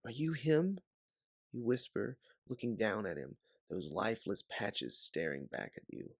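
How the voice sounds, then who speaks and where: Male narrator, lower-pitched, dramatic deliberate storytelling, scripted audiobook read, dry sound. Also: expressive, creaky, breathy edge, dry recording.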